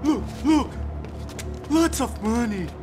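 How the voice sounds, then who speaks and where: An actor playing a cornered, corrupt, cowardly doctor in a video game, pleading and stammering frantically. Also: male voice, extremely high pitch, lively intonation, slow pace, over music, full character voice. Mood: frightened bargaining. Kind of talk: dramatic video game acting.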